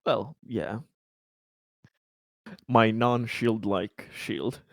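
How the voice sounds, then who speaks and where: A man's voice: on the low side, drawn-out words, no room sound. A man playing a tabletop role-playing game, talking casually with a dry, wry manner.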